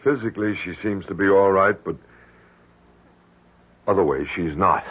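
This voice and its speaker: An actor playing a worried, stubborn middle-aged father in an old radio drama, halting, earnest and insistent with a husky voice. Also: sits low. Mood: grave, polite. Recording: very muffled vintage radio recording.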